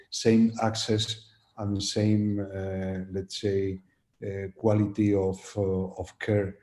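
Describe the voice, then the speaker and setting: A man giving polite, halting remarks as a webinar panelist, with many fillers. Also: on the low side, mellow. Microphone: video call. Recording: roomy video call audio.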